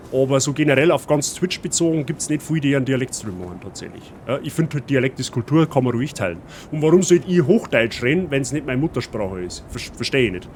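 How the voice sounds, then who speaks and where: A man with a Bavarian accent chatting casually with his viewers while playing a game. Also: mid-range voice. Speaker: streamer. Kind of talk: casual let's-play chat. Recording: noisy stream audio.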